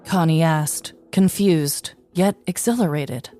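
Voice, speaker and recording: A woman's voice: deep voice, takes it slowly, warm tone. A woman hosting a podcast, narrating a scripted story in a descriptive, mock-dramatic way. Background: music.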